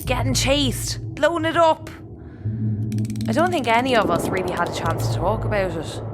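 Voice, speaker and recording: A woman playing a frank, troubled spaceship crew member in an audio drama, speaking informally and haltingly with an Irish accent. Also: fairly high pitch, noisy background, natural voice. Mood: troubled, confiding. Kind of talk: naturalistic sci-fi audio drama acting.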